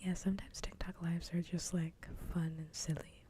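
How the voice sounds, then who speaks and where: A soft-spoken woman chatting casually on an ASMR livestream, often dropping into a whisper close to the microphone. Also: lowish voice, flat delivery, moderate pace. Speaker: streamer.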